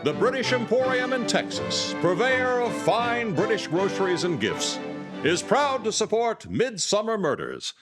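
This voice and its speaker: A man's voice: sits high, sing-song, unhurried, loud music behind the voice. A man reading a scripted live radio sponsor announcement as the announcer.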